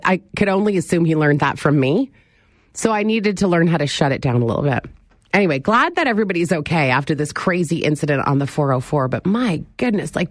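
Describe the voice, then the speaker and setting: A middle-aged woman hosting a morning radio talk show, chatty and animated as she talks straight to her listeners. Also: lowish voice, no echo, studio quality. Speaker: radio host. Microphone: studio microphone.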